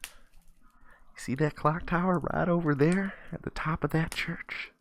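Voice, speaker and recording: A man voicing a chatty, folksy homeless drifter in a storytelling session, coaxing and drawn-out. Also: a little high, fairly even intonation, voice pushed up. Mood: folksy, instructive. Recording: noisy, keyboard clicks.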